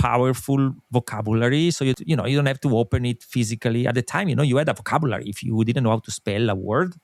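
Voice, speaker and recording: A man's voice: medium pitch, racing, creaky, no room sound, studio quality. A middle-aged man from Italy, a native Italian speaker with an Italian accent, talking earnestly as a guest in a podcast interview and explaining his views through analogies and rhetorical questions. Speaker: podcast company co-founder, guest.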